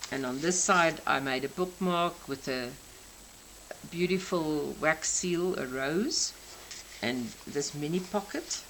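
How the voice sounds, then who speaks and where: Slow, gentle South African woman in her sixties. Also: low-pitched, slight background noise, rough recording. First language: Afrikaans. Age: 60s. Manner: gentle, unhurried explaining of each detail. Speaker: craft channel host. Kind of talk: crafting show-and-tell flip-through.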